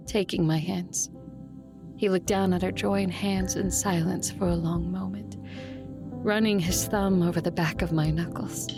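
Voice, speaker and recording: A woman playing a guarded, determined leader in love, acting and narrating an audio drama with intimate restraint. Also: on the low side. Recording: music bed under the voice.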